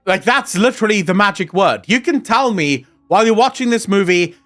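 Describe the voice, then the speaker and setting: A young South African man, a native Afrikaans speaker, talks in English with an Afrikaans accent while he answers his live-stream chat. He rants, jokes and swears freely. His voice sits a little high, and soft music plays under him. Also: expressive, fairly quick. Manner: profane, ranting and joking.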